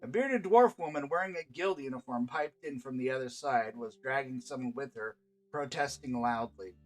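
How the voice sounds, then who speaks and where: A man's voice: medium pitch, normal speed, soft background music, poor audio. A man reading scripted fantasy narration aloud as a game master and storyteller.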